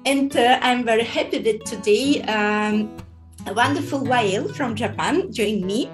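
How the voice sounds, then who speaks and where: A woman's voice: a little high, unhurried, soft background music. A woman who is not a native English speaker, giggly and cheerful, chatting casually in a language tutorial as host and learner. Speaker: amateur radio host learning Japanese.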